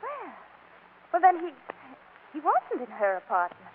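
A spirited young wife with a high, lively voice. She is firm but flustered, in emphatic vintage radio comedy style, and the sound is thin and tinny. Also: measured pace, a little hiss or hum, full character voice. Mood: surprised, hurried. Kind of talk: 1940s radio comedy acting. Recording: tinny, band-limited old radio recording.

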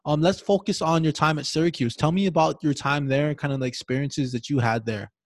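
A man from Hawaii hosting a sports podcast, asking questions in a relaxed, friendly way. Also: on the high side, a little fast, no room sound, clean studio sound. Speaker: podcast host. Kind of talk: casual podcast interviewing.

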